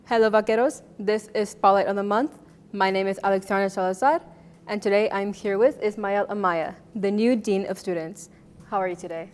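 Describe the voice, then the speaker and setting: A woman's voice: medium pitch, steady tone, brisk, a little mellow, slight background noise. A young woman hosting a studio interview segment, asking friendly prepared questions. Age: young adult. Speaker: student interviewer. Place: TV news studio set.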